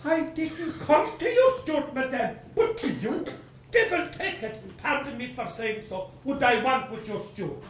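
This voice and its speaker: A woman's voice: high voice, lively intonation, normal speed, a little hiss or hum. A woman playing a blustering, angry male landowner who rants in exclamatory, self-pitying outbursts, acting out a stage play. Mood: ranting. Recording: roomy echo, from a distance.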